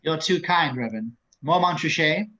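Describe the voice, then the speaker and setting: Man playing cultured menacing psychiatrist, lightish pitch, measured, formal, erudite, muffled video-call sound, table read. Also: animated, bright, forceful tone. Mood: courteous. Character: urbane, courteous cultured psychiatrist hosting a dinner, quietly menacing. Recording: dull, lo-fi video call audio.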